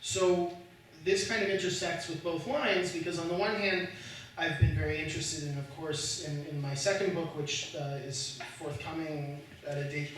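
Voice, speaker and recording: A man's voice: a little high, fairly even intonation, normal speed, fairly bright tone, a little hiss or hum. A male historian speaking as a guest at an academic panel, hesitant with many fillers and restarts, dry humor. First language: Slovak spoken at home as a child. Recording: echoey room, far from the mic.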